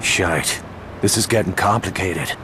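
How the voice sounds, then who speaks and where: An actor playing a rough, irreverent Irish saboteur in a video game, blunt and sardonic. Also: male voice, low voice, measured pace, pushed and bright, a little hiss or hum. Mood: gruff, defiant. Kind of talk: video game cutscene acting.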